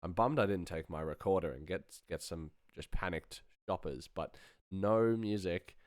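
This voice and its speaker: A man's voice: low voice, normal speed, dry recording, studio quality. A man hosting a casual live stream as a sound designer, rambling and thinking aloud as he works.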